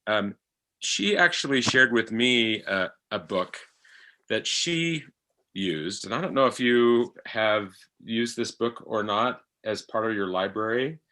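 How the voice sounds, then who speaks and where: A middle-aged man talking reflectively in conversation, halting often as he searches for his words. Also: fairly low pitch, expressive, bright, forceful tone. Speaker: podcast actor and guest.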